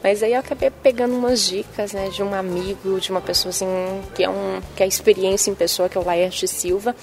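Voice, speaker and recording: Bahian woman with a gravelly lilt. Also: medium pitch, steady tone, a little fast. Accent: Bahian Brazilian Portuguese. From Bahia, Brazil. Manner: sing-song lilt, frequent tag questions. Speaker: TV journalist and presenter. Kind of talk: interview answers.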